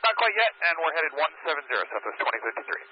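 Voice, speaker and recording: Male airline pilot, calm radio calls, lively pitch, brisk, noisy dull radio line. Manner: calm, brief, with hesitant fillers. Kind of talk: radio exchanges with air traffic control.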